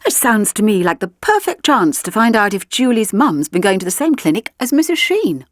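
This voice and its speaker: Female reader as woman journalist, shrewd, cheery, politely probing, very varied pitch, audiobook dialogue. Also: very animated, fairly quick, dry recording, studio quality, close to an everyday voice. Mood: bright.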